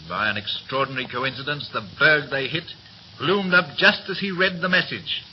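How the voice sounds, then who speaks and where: An actor playing a steady, respectful ship's quartermaster in a 1930s radio play, measured and deferential. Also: male voice, medium pitch, lightly acted. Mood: grave storytelling. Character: steady, respectful male quartermaster, secretly a disgraced captain. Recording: muffled, noisy 1930s radio recording.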